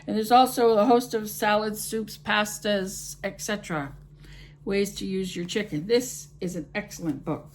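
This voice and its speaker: A woman's voice: medium pitch, normal speed. A middle-aged woman with a Canadian accent, hosting a home cooking channel and chatting to camera in a rambling, friendly way. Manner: rambling, friendly, with fillers and restarts. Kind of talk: chatty vlog talk to camera.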